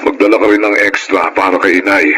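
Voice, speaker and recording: A man's voice: deep voice, flat delivery, fast talker, background noise, lightly acted. A man acting a worried, protective middle-aged father in a Filipino radio drama, speaking colloquially and insistently with a Filipino accent. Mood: evasive, coaxing.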